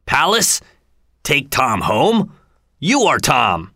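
Brief: a man doing a rough drunk brute of a father, slow and scornful, storybook. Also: medium pitch, wildly swooping pitch, sharp tone, no echo, studio quality, exaggerated voice. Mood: gruff.

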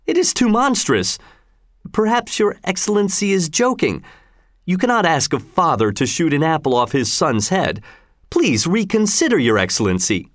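Casting: audiobook reader as proud father, respectful but anguished, very lively pitch. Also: moderate pace, few pauses, no room sound, clean studio sound, natural voice. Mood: anguished pleading. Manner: deferential, pleading.

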